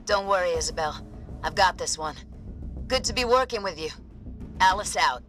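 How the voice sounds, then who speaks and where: Bright, forceful soldier, high-pitched. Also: male voice, expressive, normal speed, faint music behind. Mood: friendly assurance. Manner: cocky, rallying. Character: confident, easygoing male super-soldier squad leader. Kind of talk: cinematic video game acting.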